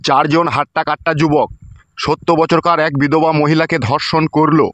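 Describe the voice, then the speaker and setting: A man's voice: fairly high pitch, flat delivery, brisk, a bit bright, no room sound, close to an everyday voice. A man playing a coarse, scolding, suspicious middle-aged village father in a comedy cartoon. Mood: grumbling, reading aloud.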